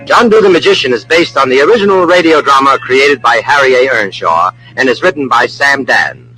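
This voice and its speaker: A man's voice: medium pitch, animated, brisk, over music, poor audio. A man reading scripted teasers and credits as an old-time radio drama announcer, formal, dramatic and emphatic. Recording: old radio broadcast recording.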